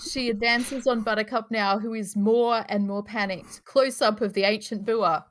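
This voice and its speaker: A woman's voice: medium pitch, fairly even intonation, normal speed. A woman narrating the stage directions of a screenplay at a live table read.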